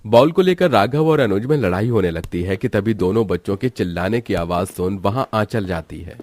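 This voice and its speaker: A man's voice: lowish voice, animated, normal speed, a little mellow, no room sound. A man reading scripted narration for a moral cartoon story.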